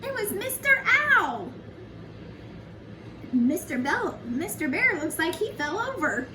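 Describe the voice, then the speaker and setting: A woman's voice: extremely high pitch, very animated, takes it slowly. A woman reading a picture book aloud to young children, in a sing-song manner with chatty asides addressed straight to them. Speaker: children's storyteller, early childhood educator. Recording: roomy, distant mic, faint background noise.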